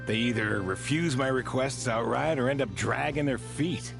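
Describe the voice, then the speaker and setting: A weary, dutiful sergeant major with plenty of gravel in a mid-pitched voice, played by a man. He is frank and matter-of-fact, with video game music clearly behind him. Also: normal speed, natural voice. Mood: frustrated.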